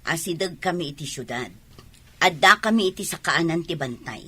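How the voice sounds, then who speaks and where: Casting: nagging poor mother, Filipino radio drama, lowish husky voice, blunt and scolding. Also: lively intonation, moderate pace, a bit bright, full character voice. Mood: matter-of-fact. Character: curt, scolding mother of a poor mountain family.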